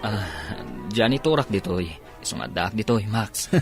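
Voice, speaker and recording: A man playing a humble, hardworking elder brother who works as a janitor, in a Filipino radio drama, husky and with a Filipino accent. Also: lowish voice, expressive, measured pace, over music. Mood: weary, patient.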